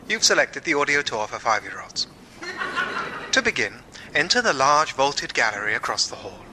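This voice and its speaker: An actor playing a formal, measured museum audio guide in deadpan radio comedy. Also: male voice, mid-range voice, a little hiss or hum, rough recording. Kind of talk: scripted audio-tour narration in radio comedy.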